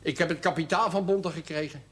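An actor playing a gruff, irreverent working-class father with a blunt manner in a television drama. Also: on the high side, a little fast, close to an everyday voice. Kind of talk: naturalistic television drama dialogue.

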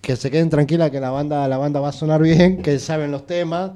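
A man's voice: a little high, fairly even intonation, fairly quick, dark tone. Playful man. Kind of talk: casual interview chat.

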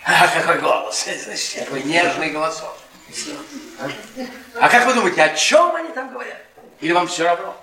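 Radio drama: mocking middle-aged academic, tipsy and bitter, high-pitched delivery, recorded at a distance. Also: male voice, big pitch swings, normal speed, pauses often. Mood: needling. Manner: sardonic, drawling, needling questions. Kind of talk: Russian radio theatre acting. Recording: echoey stage-like room, distant mic.

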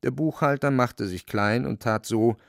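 An actor as the storyteller of a radio play, narrating in a measured, wryly dramatic way with a creaky voice. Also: male voice, medium pitch, very noisy, natural voice.

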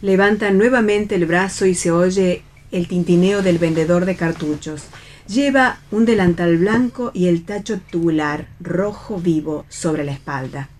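Female narrator for read radio theatre, slightly low pitch, delivers scripted stage directions. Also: unhurried, a little hiss or hum.

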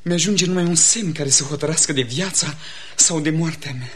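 A lovesick young gentleman in a radio play. He speaks with melodramatic passion, anxious and disbelieving, in an openly theatrical style. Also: on the high side. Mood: despairing. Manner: impassioned, melodramatic. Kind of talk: theatrical radio comedy acting.

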